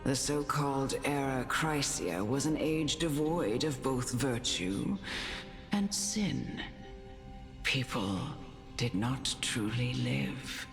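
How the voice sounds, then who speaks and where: An actress playing a serene, wise noblewoman and motherly mentor in a fantasy game, speaking in measured, formal, philosophical phrases. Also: a bass voice, lively intonation, exaggerated voice. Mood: contemplative. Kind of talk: scripted fantasy game dialogue. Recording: long reverb, clear music behind.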